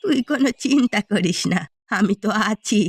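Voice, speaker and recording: A woman's voice: medium pitch, lively intonation, normal speed, husky, no room sound. A woman voicing a scheming, vengeful elderly mother who runs a village eatery in a cartoon, speaking confidently and conspiratorially. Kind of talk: cartoon story voice acting.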